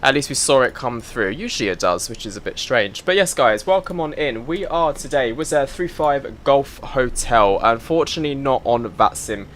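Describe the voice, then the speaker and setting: A man's voice: mid-range voice, expressive, normal speed, hardly any pauses, fairly bright tone, slight background noise. A man, chatting casually on a live stream, greeting viewers by name while sorting out his setup. He has a slight cough and sounds a little bunged up. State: slightly congested, a bit of a cough. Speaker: flight sim streamer.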